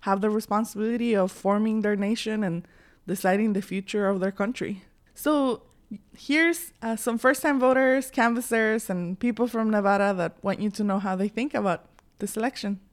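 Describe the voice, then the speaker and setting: An earnest, upbeat woman co-hosting a podcast, her voice at a middle pitch. She speaks in a casual, conversational way. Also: normal speed. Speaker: podcast co-host and field reporter.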